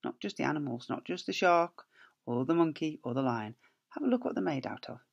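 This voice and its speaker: A man's voice: a little high, big pitch swings, a little fast, gravelly, dull audio. A middle-aged male teacher reading a picture book aloud to young children, playfully stretching key words and making sound effects, recorded on a laptop webcam in a small office. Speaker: school teacher. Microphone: laptop webcam at close range.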